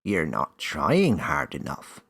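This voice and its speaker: A man's voice: rumbling low, huge pitch swings, takes it slowly, a bit bright, breathy edge, heavily acted. A man voicing a tiny, cheeky elf in a children's story, friendly and bossy, with a teasing, theatrical manner. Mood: announcing.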